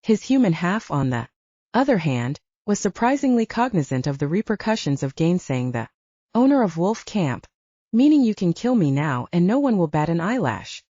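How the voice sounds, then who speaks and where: A woman narrates fiction in a lower-pitched voice with plenty of melodic movement. Her tone is mellow rather than bright, and the dry, slightly dull recording keeps the scripted first-person storytelling close. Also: sing-song, normal speed, dry recording. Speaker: audiobook narrator.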